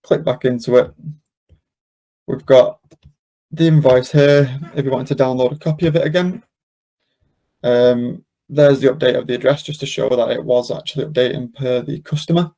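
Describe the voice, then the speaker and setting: A man presenting an informal software walkthrough, explaining casually step by step. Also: mid-range voice, steady tone, normal speed, long pauses. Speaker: software demonstrator, webinar presenter. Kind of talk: informal screen-share walkthrough.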